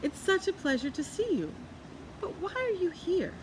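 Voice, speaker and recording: A woman voicing a kind, gentle young village woman in a storybook, speaking with tender wonder. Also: very high voice, sing-song, moderate pace, voice pushed up. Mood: delighted surprise. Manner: tender, marvelling. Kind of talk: storybook character voice. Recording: clearly noisy background.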